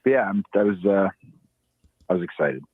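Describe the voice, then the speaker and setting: A low, husky man calls in on a thin, phone-like line. His voice sits very deep, with a dry, rough edge. He rambles in a casual way and often restarts his sentences. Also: animated, moderate pace, no echo. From Ohio. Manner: rambling, hesitant with many restarts. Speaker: caller.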